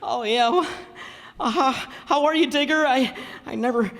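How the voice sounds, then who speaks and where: A man's voice: extremely high pitch, a little slow, a bit bright, full character voice. A man playing a cowardly, bumbling father who hesitates and stammers nervously, in old-time radio comedy style. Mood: uneasy relief. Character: cowardly, bumbling father, easily spooked everyman. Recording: echoey hall stage performance.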